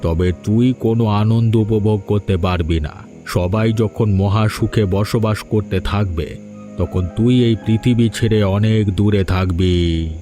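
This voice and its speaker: A man's voice: fairly low pitch, steady tone, a little slow, warm tone, natural voice. A man voicing a stern village holy man in a cartoon fairy tale, making solemn, prophetic pronouncements. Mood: stern, ominous. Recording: background music under dialogue.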